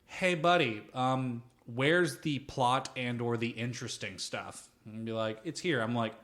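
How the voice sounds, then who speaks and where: A man with a mid-range voice chats casually as a podcast co-host. He rambles from one thought to the next, swears freely and tells things in an animated, informal way. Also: mid-range voice, a little slow. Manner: rambling, profane, animated storytelling. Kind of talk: casual chat about tabletop role-playing.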